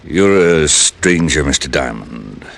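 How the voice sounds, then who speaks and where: A deep, smooth-talking plantation owner with a condescending air, who leans hard on certain words and lets others fall low. His pitch moves widely, as in an old radio play. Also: sing-song, exaggerated voice. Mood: suave. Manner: suave, condescending, slow emphasis. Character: powerful, smooth older male plantation owner. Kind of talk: 1950s radio drama acting.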